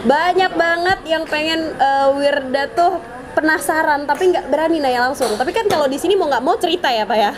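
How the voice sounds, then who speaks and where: A woman's voice: high voice, a little fast, a crowd in the background. A young woman hosting her channel, interviewing a guest in a chatty, playful way and laughing often. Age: young adult. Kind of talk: casual vlog interview.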